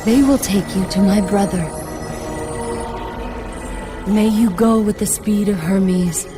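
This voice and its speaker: An actress playing a weakened Greek goddess of dawn in a video game, solemn, earnest and pleading. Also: female voice, medium pitch, fairly even intonation, slow, lightly acted. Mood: weary, pleading. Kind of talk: dramatic video game acting. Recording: music under the dialogue.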